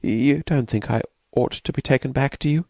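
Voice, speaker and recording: A man voicing a frightened, fragile young woman who has escaped from an asylum, speaking softly and hesitantly. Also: lowish voice, expressive, moderate pace, dry recording, voice pushed up, natural voice. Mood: fearful, wistful. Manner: hesitant, vacant, soft-spoken.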